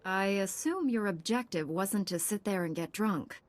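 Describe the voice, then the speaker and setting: An actress playing a composed, confident young Jedi knight in a video game, speaking with assurance. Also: female voice, mid-range voice, normal speed, natural voice. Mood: composed confidence. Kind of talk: video game dialogue acting.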